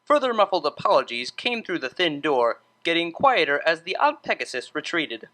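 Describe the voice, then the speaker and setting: Bright, expressive storybook narrator. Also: medium pitch, moderate pace. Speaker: audiobook narrator.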